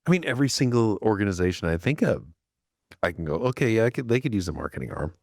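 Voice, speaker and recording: A man co-hosting a podcast, telling a story with animated, self-deprecating humor. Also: on the low side, fast, vocal fry, no room sound, clean studio sound. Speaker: podcast co-host. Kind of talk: casual chat.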